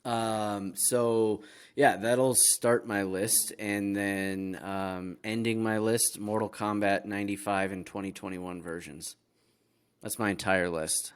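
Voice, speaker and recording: Male podcast co-host, lower pitch, informal conversational delivery. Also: fairly even intonation, takes it slowly, clear, resonant tone. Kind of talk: casual podcast chat.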